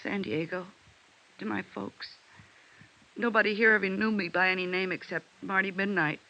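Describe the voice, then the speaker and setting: A woman's voice: medium pitch, fairly even intonation, moderate pace, creaky, bright, forceful tone. An actress playing a scared young nightclub showgirl, by turns defiant and pleading, in 1950s radio drama style. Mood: scared, defensive. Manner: urgent, pleading. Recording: dull vintage radio recording.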